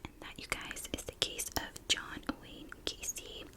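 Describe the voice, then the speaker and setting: Female ASMR host, fully whispered, unhurried delivery, conversational and confiding, as if chatting to followers. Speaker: ASMR host telling true crime while doing makeup.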